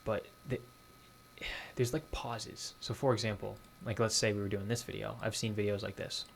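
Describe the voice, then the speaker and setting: A man from Boston runs a casual online lesson. His voice sits fairly low, and he circles back to his main points with many fillers. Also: fairly even intonation, moderate pace, creaky, slight background noise. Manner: conversational, repeats key points, many fillers. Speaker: online course instructor.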